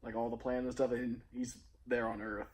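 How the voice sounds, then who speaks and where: A man's voice: medium pitch, level tone, normal speed. A young man as a guest in a casual movie chat, laid-back and laughing often.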